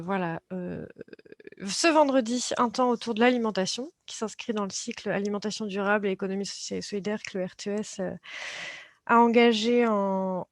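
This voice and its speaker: A woman with a medium-pitched voice hosts a webinar. She delivers announcements in short, hesitant bursts. The sound is dry, with no room echo. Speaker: conference host. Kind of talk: semi-scripted webinar moderation and announcements.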